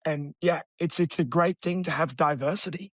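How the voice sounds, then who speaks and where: A man, a real estate agent talking as an interview guest in an energetic, blunt, casual way. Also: a little high, normal speed, no echo, studio quality. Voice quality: raspy.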